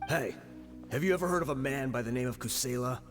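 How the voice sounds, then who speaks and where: A loyal mercenary man in a video game, played by an actor, mid-pitched and direct. His firm voice rings with long reverb over faint music. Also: fast talker, lightly acted. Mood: resolute.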